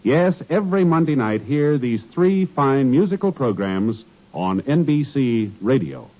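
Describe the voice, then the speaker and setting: An American man working as a 1950s radio network announcer, reading scripted copy in a polished, formal, measured promotional delivery. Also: medium pitch, big pitch swings. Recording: dull 1950s radio recording, faint music.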